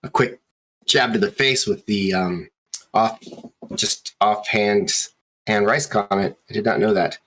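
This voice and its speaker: A man hosting and running a tabletop game stream, introducing players in an easygoing way with many pauses. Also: fairly low pitch, fairly bright tone. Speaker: game master and host.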